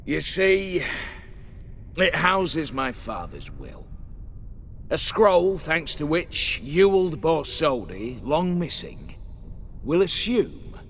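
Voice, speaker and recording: An actor playing a smug, embittered schemer out for revenge in a video game, speaking with mocking, theatrical deliberation. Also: male voice, a little high, very animated, slow, a bit bright, a little hiss or hum, full character voice. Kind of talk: dramatic video game acting.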